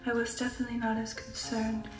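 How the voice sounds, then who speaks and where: A breathy, whispering vampire noblewoman, played by a woman, tells her tale in an intimate ASMR roleplay. Her voice is fairly high and evenly pitched, with genteel poise and slow, sensual pauses. It sits in a roomy echo with music behind it. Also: monotone, distant mic, natural voice. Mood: yearning, sultry. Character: female aristocratic vampire, poised, sensual, recounting her past. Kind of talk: ASMR roleplay storytelling, whispered and soft-spoken.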